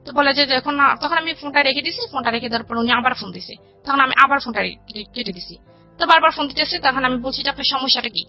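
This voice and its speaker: A Bangladeshi woman with a fairly high voice shares her own story in a conversational way. She retells exchanges line by line, quoting both sides. The sound is slightly muffled and lo-fi, with music playing underneath. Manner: recounts dialogue in detail, quoting both sides. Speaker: guest telling her life story. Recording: dull lo-fi radio audio with music. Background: background music.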